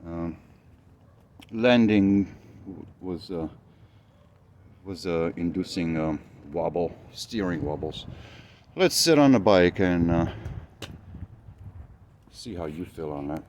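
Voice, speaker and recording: A man whose first language is Romanian, talking through his motorcycle build in a casual, hesitant vlog, pausing often with fillers. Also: low voice, expressive, a little mellow, slight background noise. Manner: hesitant, many fillers, thinking aloud.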